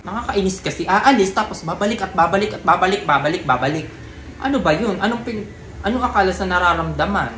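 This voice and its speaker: A man's voice: high voice, expressive, quick pace, pushed and bright. A young man speaks in a casual, needling way, repeating himself to press his complaints. His voice rings in an echoey room with some faint noise behind it. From Philippines. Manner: pointed, complaining, repeats phrases for emphasis. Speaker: prankster in a couple's vlog. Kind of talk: casual reactive chat, needling a partner.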